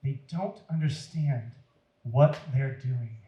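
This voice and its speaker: An anguished, gentle-hearted man in agony from a Bible storybook as a male reader plays him. The words come slowly and pleadingly. The room around the voice echoes. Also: mid-range voice, highs cut off, close to an everyday voice. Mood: forgiving, pained. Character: suffering, forgiving Jesus on the cross. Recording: roomy echo.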